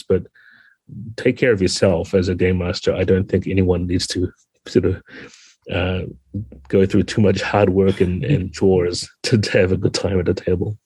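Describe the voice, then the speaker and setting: A man's voice: low voice, dark tone, breathy edge, dry recording. A man speaking as a guest game designer in a podcast interview, explaining things in a conversational way.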